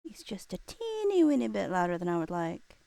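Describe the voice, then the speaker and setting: Gravelly-edged woman streamer chatting casually. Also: mid-range voice, huge pitch swings, a little fast, dark tone, dry recording. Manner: scattered, thinking aloud, playful little nonsense sounds. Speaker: live streamer host. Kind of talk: casual livestream chat.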